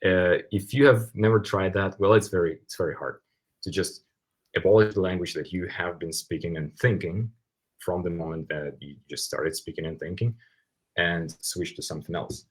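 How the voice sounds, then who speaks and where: A man with a very low, husky voice speaks English with a Ukrainian accent, as a native Russian speaker. He is an interview guest who explains things conversationally, circling back to rephrase his points as he goes. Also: steady tone, moderate pace. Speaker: podcast guest.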